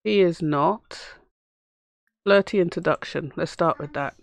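A woman with a hoarse voice from a cough, chatting casually as she plays a life-sim game and talks to her characters. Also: deep voice, measured pace, dry recording. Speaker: let's play host. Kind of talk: casual gameplay commentary.

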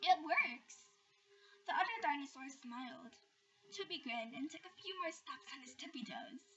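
A woman reading a bedtime story aloud with expressive, deliberate storybook phrasing, recorded indoors at home. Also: sits high. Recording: distant, muffled home recording with faint noise.

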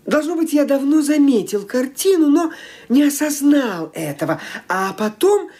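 An actor playing a hesitant young nephew who halts and fumbles for words in a radio play. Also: male voice, extremely high pitch, big pitch swings, dark tone. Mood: sheepish. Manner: hesitant, halting, full of fillers. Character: awkward young nephew explaining himself to his aunt.

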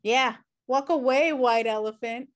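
Woman acting as messy twin sister, wry, laid-back, quick comebacks, comedy table read. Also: a little high, natural voice. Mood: defiant. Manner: wry, sarcastic. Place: home room, video call.

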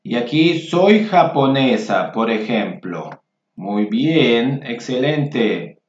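A man's voice: medium pitch, very animated, takes it slowly. A man teaching Spanish, reading workbook exercises aloud and patiently repeating phrases for learners. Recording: roomy, dull lo-fi sound.

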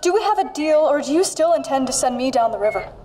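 A woman's voice: sits high, expressive, fast, long reverb tail, background noise. A woman playing a scheming, theatrical lady in an old-time radio mystery melodrama. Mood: urgent, dramatic.

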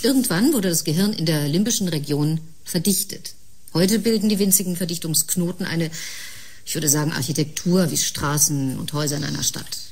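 A cool, assertive woman of science in a science-fiction radio drama. Her voice sits fairly low with a husky grain. She makes each point deliberately and pointedly, like an expert who will not back down. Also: a little mellow. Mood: explaining, insistent. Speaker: actor in a sci-fi audio drama. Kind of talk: German sci-fi radio play acting.

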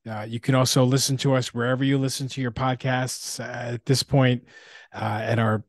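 A man's voice: medium pitch, flat delivery, moderate pace, no echo, clean studio sound. A man hosting a podcast, wrapping up the episode in an upbeat, promotional way. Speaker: podcast host. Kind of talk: podcast outro.

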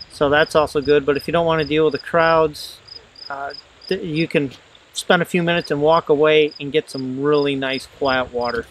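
The folksy American guy at the riverbank who tells you how the day is going, voice a bit high, faint insect noise around him. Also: rough recording. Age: middle-aged. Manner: folksy, plain-spoken. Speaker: fishing guide. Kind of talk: casual spoken fishing report. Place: outdoors in an open riverside meadow. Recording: outdoor insect and background noise.

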